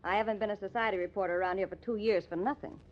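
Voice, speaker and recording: An actress playing a sharp-witted, pushy newspaper reporter in old-time radio drama style, snappy and insistent. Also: female voice, medium pitch, steady tone, rapid-fire, natural voice. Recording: dull, lo-fi old radio recording.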